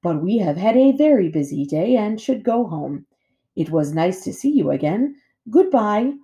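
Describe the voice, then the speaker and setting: Woman as a gentle, formal robot mother. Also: mid-range voice, sing-song, moderate pace, pitched above the performer's own voice. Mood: friendly, formal. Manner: formal, precise, friendly.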